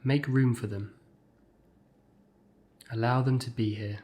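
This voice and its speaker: A man's voice: mid-range voice, fairly even intonation. Man guiding a mindfulness meditation. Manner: measured, gentle pauses between short phrases. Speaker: mindfulness exercise guide. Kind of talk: guided meditation, scripted instruction.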